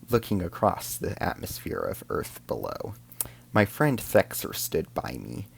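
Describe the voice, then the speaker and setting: A man's voice: low voice, vocal fry, husky. A man narrating a scripted science fiction drama in the first person as an alien soldier, in a solemn, formal storytelling manner.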